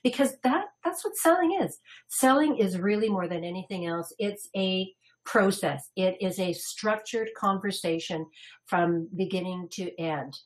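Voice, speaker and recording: A woman's voice: mid-range voice, unhurried, low-quality recording. A woman consultant presenting a salon business webinar in a chatty, upbeat way, laughing easily.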